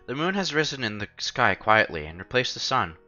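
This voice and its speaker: Male streamer, expressive visual novel read-aloud, forward bright tone, faint music underneath. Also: mid-range voice, brisk, dull audio.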